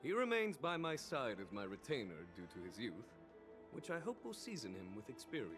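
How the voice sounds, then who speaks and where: Polite composed fantasy general, lively intonation, music playing behind. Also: male voice, medium pitch, a little fast. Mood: composed, proud. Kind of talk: anime-style game dialogue.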